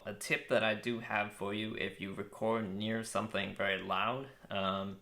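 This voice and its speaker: A young man with a very low voice casually reviewing a microphone outdoors, relaxed and thoughtful, with frequent ums and uhs. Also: moderate pace, creaky. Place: outdoors with birds nearby. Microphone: shotgun mic on phone.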